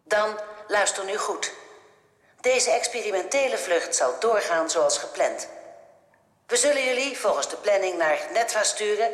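An actress playing a cold, formal alien flight controller in a science fiction radio drama, measured and threatening. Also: female voice, fairly low pitch, natural voice. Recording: echoey, long hall-like reverb.

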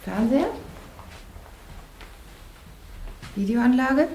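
A woman's voice: a little high, lively intonation, normal speed, mellow. An actress playing a self-assured, well-off lady of the house in a German radio play. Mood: businesslike. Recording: roomy, noisy 1980s radio play recording.

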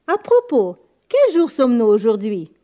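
A woman hosting a scripted bilingual radio language lesson as guide and interpreter, formal and deliberate, repeating phrases. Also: fairly high pitch, huge pitch swings, mellow.

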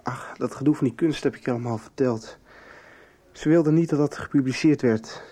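A man's voice: mid-range voice, moderate pace, mellow, breathy edge, lightly acted. An actor playing an earnest, somewhat hesitant young man in a radio soap. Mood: earnest, intense. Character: earnest young man searching for his father.

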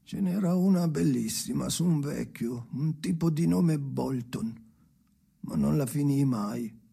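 An actor performs a haunted, obsessive man in a radio drama monologue. His voice is very raspy and full of deep creak, sitting a little high for a man. He speaks haltingly, circling back over his own words and correcting himself. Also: dark tone, full character voice. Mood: weary, restless. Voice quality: husky, gravelly. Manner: halting, repetitive, self-correcting, shifting from murmurs to outbursts.

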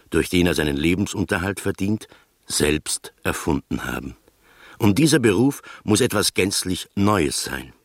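Reflective mystery narrator, very low bass voice, measured literary delivery, radio play, dry studio sound. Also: male voice, no room sound, heavily acted. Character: reflective male narrator of an eccentric London mystery tale.

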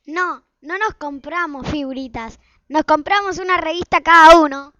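A woman plays a schoolkid, the older sibling in a radio drama. The voice is high and bright, on a dull recording. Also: normal speed, dry recording. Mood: plain. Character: schoolchild, elder sibling in the family. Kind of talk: amateur radio play acting.

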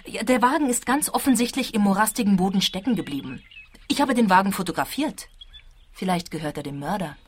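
A woman's voice: mid-range voice, lively intonation, a little fast, husky. A woman playing an eager, brash young insurance investigator in a radio play. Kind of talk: German-language radio play acting.

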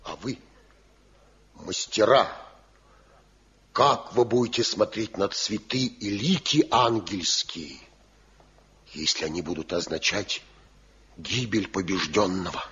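An actor playing a stern, pious village elder in a Soviet radio play, declaiming and moralizing. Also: male voice, fairly low pitch, slow, pauses often, fairly bright tone. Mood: indignant. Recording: dull, muffled 1970 radio play recording.

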